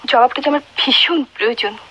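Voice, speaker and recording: A slightly noisy old radio drama recording. an emotional young wife full of longing. The voice is bright and fairly high, tender and pleading. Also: female voice, fairly quick. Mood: yearning. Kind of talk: melodramatic radio play acting.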